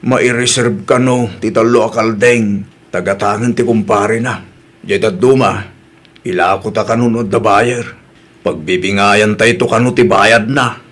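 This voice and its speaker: A man's voice: on the low side, fairly even intonation, unhurried, a bit bright. Man acting older father, audio drama, northern Philippine accent, hesitant, defeatist, excuse-making. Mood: defensive.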